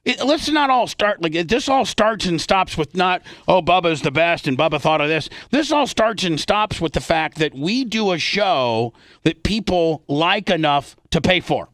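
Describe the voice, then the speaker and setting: A male radio host with a fairly high voice talks off the cuff in a very dry studio. He is blunt and salty-tongued, speaking in an unscripted radio style. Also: expressive, normal speed, a bit bright, no echo.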